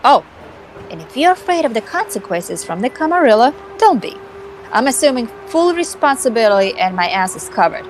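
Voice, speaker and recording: A woman playing a scheming, cocky female vampire hacker in an amateur visual novel performance, theatrical and condescending with dramatic pauses. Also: on the high side, very animated, a little hiss or hum. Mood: cocky, scornful. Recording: music behind the voice.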